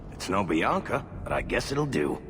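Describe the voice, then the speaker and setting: An actor playing a wry, roguish adventurer in a video game, tossing out offhand quips. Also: male voice, fairly low pitch, sing-song, measured pace, background noise. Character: wry roguish male adventurer companion, unimpressed. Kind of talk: video game dramatic acting. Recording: long reverb, loud music behind.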